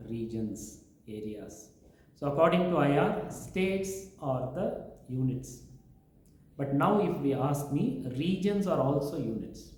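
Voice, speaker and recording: Male professor, lecture-style, mellow tone, very reverberant room. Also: a little high. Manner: lecturing, listing points one by one. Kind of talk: explaining teaching methods in an interview. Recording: very echoey room.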